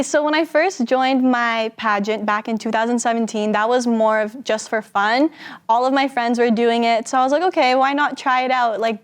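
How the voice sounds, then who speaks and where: A woman's voice: a little high, clean tone. A seventeen-year-old woman, a pageant titleholder, answering interview questions in an upbeat way and quoting her own thoughts.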